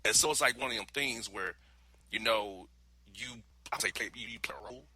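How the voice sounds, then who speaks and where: A man's voice: lowish voice, fairly quick, bright, forceful tone, poor audio. A man hosting a radio show, chatting casually in a loose, rambling way with laughing asides.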